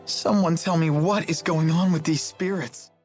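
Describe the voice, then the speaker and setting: An earnest teenage boy, voiced by a man for a video game. His voice is fairly high for a male, polite and naive in tone. There is music underneath. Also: fairly even intonation, moderate pace, a little mellow, lightly acted. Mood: confused. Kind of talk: English video game dub acting.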